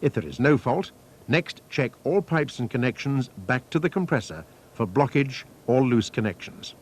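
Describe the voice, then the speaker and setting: A man reading scripted technical instructions as a training film narrator, in a measured, methodical, formal manner. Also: mid-range voice, lively intonation, darkish tone. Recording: faint noise, archival training film soundtrack.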